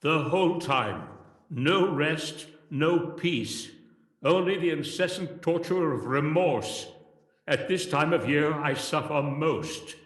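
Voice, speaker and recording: An actor playing the tormented, stern ghost of a dead businessman in a radio play, speaking slowly and solemnly in a declamatory way. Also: on the high side, expressive, a bit bright. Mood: anguished. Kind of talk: theatrical radio play acting. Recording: echoing long reverb on the voice.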